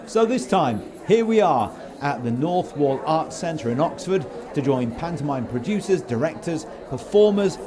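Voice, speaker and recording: A man presenting a podcast as host, in scripted narration and interview questions. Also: medium pitch.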